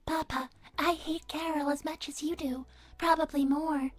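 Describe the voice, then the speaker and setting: A woman's voice: sits high, fairly even intonation, moderate pace, close to an everyday voice. A woman voicing a polite, childlike AI hologram daughter, earnest and pleading, with stilted, careful delivery in a livestream role-play. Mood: upset, reproachful. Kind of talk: in-character livestream chat.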